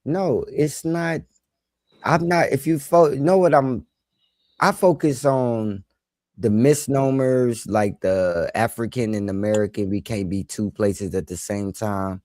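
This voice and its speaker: A man co-hosting a live podcast, arguing earnestly in a halting way that repeats itself. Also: mid-range voice, a little mellow, no echo. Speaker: podcast co-host.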